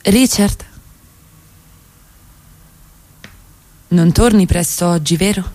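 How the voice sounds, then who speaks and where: An actress playing a composed middle-class wife in a radio play, coy and gently provocative with her husband. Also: fairly low pitch, normal speed, lightly acted. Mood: sweetly insistent. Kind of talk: Italian radio theatre acting.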